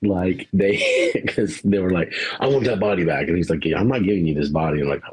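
Low husky man rambling about a movie. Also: huge pitch swings, a little fast, a little mellow. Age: adult parent. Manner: rambling, laughs often, swears casually. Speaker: podcast co-host. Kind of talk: casual movie review chat.

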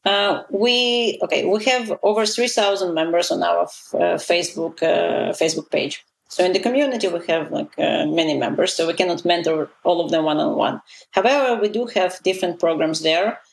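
A woman's voice: fairly low pitch, moderate pace, vocal fry. A woman, a guest in an interview, talking casually with many fillers and restarts. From Israel. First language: Russian.

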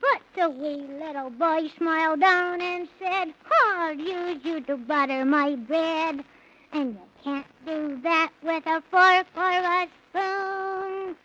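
A woman's voice: very high voice, steady tone, takes it slowly, pushed and bright, slight background noise, full character voice. A woman playing a polite, earnest six-year-old boy in a 1930s radio serial, courteous and hesitant. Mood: careful recitation. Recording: muffled 1930s radio recording.

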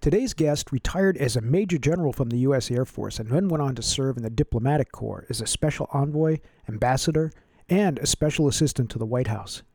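A man's voice: medium pitch, brisk, a little mellow, slightly rough, no room sound, clean studio sound. A man hosting an interview show, friendly and eager, often restarting his sentences. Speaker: podcast host.

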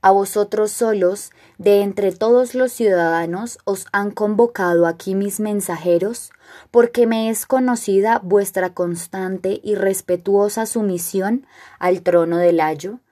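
A woman performs a stern, proud king from classical tragedy, addressing the elders. The delivery is very slow and solemn, with measured, declamatory phrasing at a middle pitch. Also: dark tone, natural voice. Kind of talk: classical tragedy monologue, recited.

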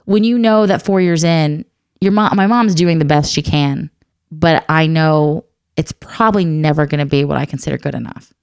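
A woman in her thirties with a low, smooth voice speaks earnestly and reflectively. Her tone is soft-spoken and candid. The sound is dry and close. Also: normal speed, dry recording. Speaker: adoptive mother and guest. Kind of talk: candid conversational chat.

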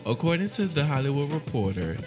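A man's voice: a little high, sing-song, a little fast, music in the background. A man co-hosting a casual gossip podcast, reading celebrity news aloud with laughing asides. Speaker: podcast co-host.

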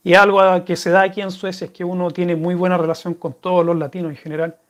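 A man's voice: sits high, level tone, fast talker. A man with a Chilean accent, chatting casually to viewers and answering their questions in a rambling, friendly way. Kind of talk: casual chat to camera.

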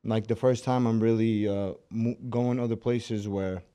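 A young man from Russia raised in Brooklyn, a fighter talking casually as a podcast guest, relaxed and hesitant with many restarts. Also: fairly low pitch, unhurried, clean tone. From born in Russia, raised in Brooklyn.

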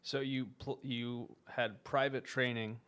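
A man's voice: mid-range voice, little pitch movement, slow pace, fairly bright tone, clear, resonant tone. A man hosting a university sports podcast, reading a scripted intro and then asking conversational interview questions. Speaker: podcast host.

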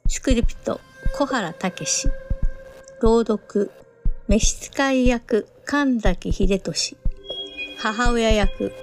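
A female announcer reads scripted programme introductions and credits. She has a slightly high voice and an unhurried, slow delivery over prominent music.

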